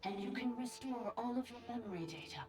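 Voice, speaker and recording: Woman as a cryptic automaton under loud music. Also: fairly high pitch, lively intonation, a little fast, close to an everyday voice. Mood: cryptic, solemn. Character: cryptic, commanding female automaton. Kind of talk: video game character acting.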